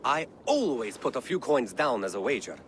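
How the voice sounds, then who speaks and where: A man's voice: medium pitch, sing-song, normal speed, a little hiss or hum, close to an everyday voice. An actor voicing a playful older male poet and mentor, who speaks with theatrical, rhythmic delivery in a video game cutscene. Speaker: game character.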